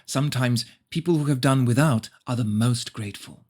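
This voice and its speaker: A man's voice: medium pitch, normal speed, a little mellow, husky, natural voice. A man voicing a prim, pious, self-satisfied mother who narrates her story in a preachy, cloying way. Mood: earnest, preachy.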